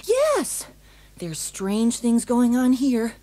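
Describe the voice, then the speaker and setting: Animated, nervous young woman. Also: fairly high pitch, extremely slow, exaggerated voice. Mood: urgent, nervous. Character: earnest, nervous young woman seeking her missing father.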